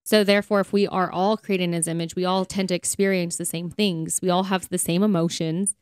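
A woman's voice: fairly low pitch, steady tone, fairly quick, a little mellow, clear, resonant tone, no echo, clean studio sound. A woman co-hosting a faith podcast, speaking in an earnest, conversational way. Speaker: podcast co-host. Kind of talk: casual faith podcast talk.

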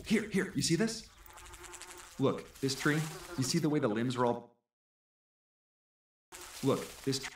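An actor playing an eager man who points out signs in the forest in an animated, explanatory way, for an audio drama. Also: mid-range voice, close to an everyday voice. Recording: roomy playback with noise and clicks.

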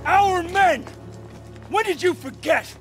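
An American army lieutenant in a war video game, fiercely protective of his men. His voice is strained and pushed very high, with measured pacing. Loud dramatic music runs behind him. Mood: angry, anguished. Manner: strained, shouting.

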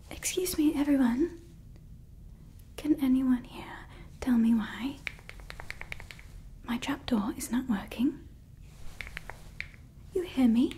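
A woman's voice: sits high, mellow, slight background noise. A young woman playing a haughty female evil scientist in an ASMR roleplay, whispering close to the mic, scolding her staff and fussing over her creation. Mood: irritated, scolding. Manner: mostly whispered, scolding and fussy. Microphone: close sensitive ASMR mic.

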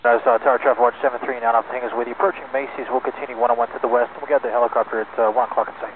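A man's voice: mid-range voice, little pitch movement, racing. A man working as a pilot, calling the tower over aviation radio. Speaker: traffic-watch aircraft pilot. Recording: tinny aircraft radio with faint noise.

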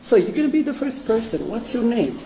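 A man's voice: very high voice, very animated, a little fast, roomy sound. A male teacher calling on students one by one in a classroom, encouraging them and thanking each in turn, filming on a handheld phone. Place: crowded indoor classroom full of students. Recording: noisy crowded classroom.

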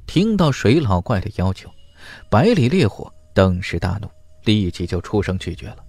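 A man narrates a fantasy audiobook in a lowish voice with lively, dramatic swings. The recording is close and dry, and the delivery is scripted storytelling. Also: moderate pace, no room sound, faint music behind.

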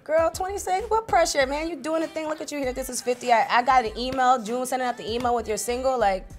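A woman's voice: high voice, fast talker, faint music behind. A woman in her late twenties hosting an interview, chatty and teasing in a casual way. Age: 20s. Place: small studio with branded backdrop.

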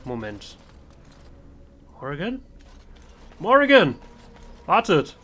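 A man's voice: on the high side, wildly swooping pitch, slow, a bit bright. A man giving in-character gameplay commentary as he roleplays the hero of a fantasy game.

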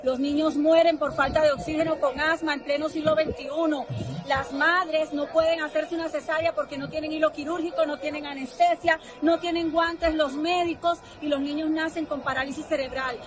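High-voiced woman at a crowded protest. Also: steady tone, moderate pace. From Cuba. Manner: firm, denouncing. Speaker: protester being interviewed. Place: street protest outdoors. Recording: outdoor street clip with crowd.

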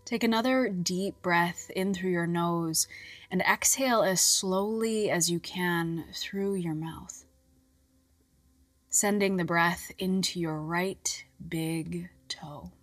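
A woman reading a scripted guided relaxation in a soft, soothing, measured voice with long pauses and breathy phrase endings. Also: on the low side, dry recording. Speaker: guided meditation narrator. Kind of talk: scripted hypnobirthing relaxation guidance. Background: music.

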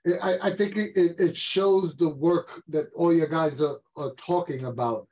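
A man with a high-pitched voice hosts an interview over a video call. He speaks conversationally, leading into questions for his guests. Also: moderate pace, a little mellow. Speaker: interviewer, show host. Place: indoor room, video call.

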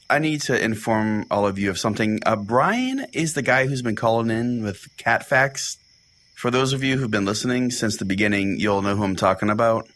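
A man's voice: medium pitch, fairly bright tone. A man hosting a comedy podcast, telling it in a theatrical, mock-solemn way. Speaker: podcast host. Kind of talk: comic dramatic storytelling and show chat. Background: music.

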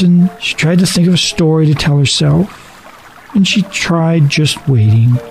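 A man's voice: fairly high pitch, a little mellow, rough recording. A man reading a scripted story as a dramatic narrator.

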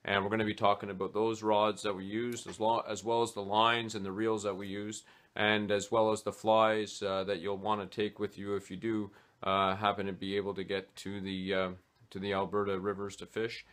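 Casting: man in his forties, lowish voice, relaxed, unscripted talk full of "uh" pauses, recorded close on a webcam in a small home office. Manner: hesitant, many "uh" fillers. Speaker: fly fishing vlogger. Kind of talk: casual gear talk to camera.

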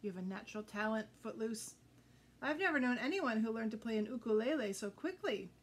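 A woman voicing a kindly, cautious old female sea turtle teacher in a storybook, measured and advising. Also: a little high, animated, breathy edge. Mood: admiring. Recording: faint background noise.

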